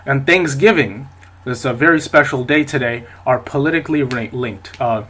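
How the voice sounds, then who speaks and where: A man's voice: mid-range voice, normal speed, fairly bright tone. A man hosting a solo podcast, talking with a stuffy nose from a cold, rambling along with plenty of fillers and restarts. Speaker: podcast host.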